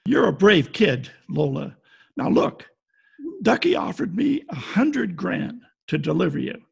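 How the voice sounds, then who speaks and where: An actor playing a blunt, wry private detective in an old-time radio play, with clipped noir delivery. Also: male voice, sits high, very animated, measured pace, gravelly, breathy edge, heavily acted. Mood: probing. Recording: home video-call recording.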